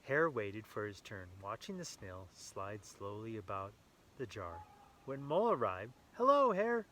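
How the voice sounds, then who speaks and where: A man reading a children's story aloud with animated enthusiasm, adding casual asides to young listeners. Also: fairly low pitch, unhurried, slight background noise.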